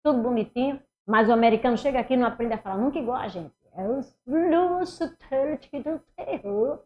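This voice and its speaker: A middle-aged woman with a Northeastern Brazilian accent teaches an online exam-prep class and walks her students through each answer in a lively way. Her voice sits a little high. The recording sounds somewhat muffled and lo-fi. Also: moderate pace. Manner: animated, talks students through each step, mimics speech habits. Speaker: Portuguese teacher.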